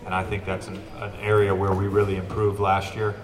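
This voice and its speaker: A young man, a pro basketball player, answering press questions in a steady, measured way with a light joke now and then. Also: low-pitched. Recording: echoey room, distant mic, crowd.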